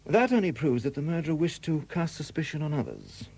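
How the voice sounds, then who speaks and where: Shrewd male detective superintendent, slightly husky, expressive pitch, insistent and courteous, 1970s radio drama, dated recording. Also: exaggerated voice. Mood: accusing, probing. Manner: probing, deliberate, courteous but insistent questioning.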